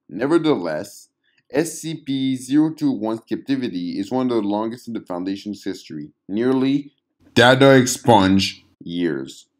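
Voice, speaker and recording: A man narrating a scripted clinical report in a measured, formal read-aloud manner. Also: fairly low pitch, a little mellow. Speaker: narrator of fictional audio logs.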